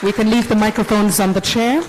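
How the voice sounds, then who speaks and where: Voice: medium pitch, fairly even intonation, a little fast, some chatter behind, a little hiss or hum, low-quality recording. An event moderator, upbeat, directing the audience and thanking panelists at a conference. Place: conference hall.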